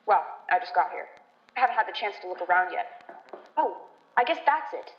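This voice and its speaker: A woman's voice: medium pitch, animated, brisk, slight background noise, natural voice. A woman acting an earnest, eager radio field reporter in a stage play of a news broadcast. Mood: excited, flustered. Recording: dull, roomy, echoey stage recording.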